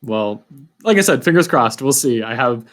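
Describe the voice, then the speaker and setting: A young man with a medium-pitched voice hosts a casual interview. He talks informally and with a self-deprecating manner, as in relaxed conversation. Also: normal speed. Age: young adult. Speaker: podcast host, student.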